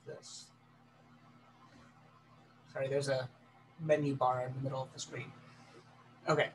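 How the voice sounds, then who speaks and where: A man's voice: mid-range voice, flat delivery, a little slow. A man from Texas, a planetary scientist, giving a talk over a video call, with many ums and restarts. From Texas, USA. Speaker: guest presenter, planetary scientist. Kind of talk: casual chat then slide presentation. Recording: distant mic, faint background noise.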